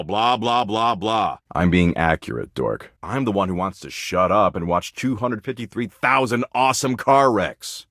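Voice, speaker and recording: Jaded slacker game character, low-pitched, morbid, snarky, flippant slang, swooping intonation. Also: male voice, fairly bright tone, no echo, studio quality, heavily acted. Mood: dismissive. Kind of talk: video game character dialogue.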